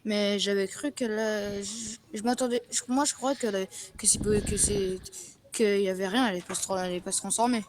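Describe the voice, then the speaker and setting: A woman's voice: mid-range voice, steady tone, brisk, background noise, lightly acted. A woman playing a weary, evasive apocalypse survivor in casual improvised game roleplay, speaking hesitantly and repeating herself. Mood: uneasy. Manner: hesitant, repetitive, informal.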